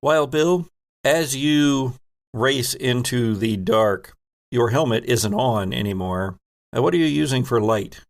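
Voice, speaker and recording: A man working as game master, narrating a tabletop horror game in a theatrical, drawn-out storytelling manner with a gravelly voice. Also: mid-range voice.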